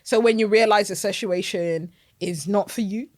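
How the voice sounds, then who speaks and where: A woman with a Zimbabwean accent co-hosting a podcast, chatting casually, emphatic and quick to laugh. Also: mid-range voice. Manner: animated, emphatic, laughs often. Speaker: podcast co-host. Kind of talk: casual chat.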